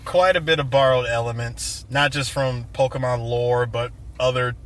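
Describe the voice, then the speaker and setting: A man's voice: medium pitch, steady tone, normal speed, fairly bright tone. A man co-hosting a casual film review, talking bluntly and jokingly in conversational banter. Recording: noisy outdoor recording with traffic.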